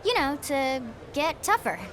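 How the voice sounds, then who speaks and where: A woman's voice: high voice, big pitch swings, unhurried, fairly bright tone, soft background music, heavily acted. A woman voicing a perky, eager young female military tour guide in a video game cutscene, bubbly and playful. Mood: playfully eager.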